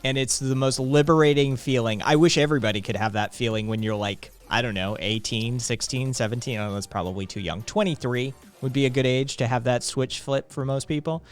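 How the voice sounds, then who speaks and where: A man's voice: medium pitch, a little fast, few pauses, clear, resonant tone, faint music behind. A man in his late thirties hosting a live stream, chatting casually with viewers in a rambling, profane way full of fillers. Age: late 30s. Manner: profane, rambling, laid-back with frequent fillers. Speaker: streamer host.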